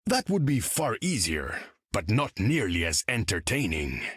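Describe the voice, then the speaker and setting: A man's voice: on the low side, huge pitch swings, unhurried, a bit bright, husky, no room sound, clean studio sound, heavily acted. An actor playing a proud, imperious undersea king in a video game, speaking in a pompous, formal way. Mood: menacing, haughty. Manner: pompous, grandiose, formal speech. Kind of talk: video game character dialogue.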